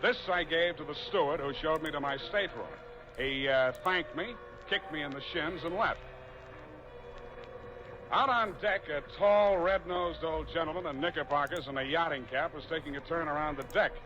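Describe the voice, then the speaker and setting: An actor playing a wry, hardboiled private detective who narrates a 1940s radio drama in a deadpan way. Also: male voice, fairly high pitch, normal speed, natural voice. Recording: muffled old radio recording with music.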